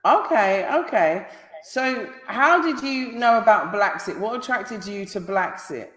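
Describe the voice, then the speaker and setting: A woman's voice: medium pitch, expressive, measured pace, a bit bright, roomy sound, rough recording. A woman with a British accent hosting a casual live-stream interview, pressing her guest with repeated questions. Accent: British English.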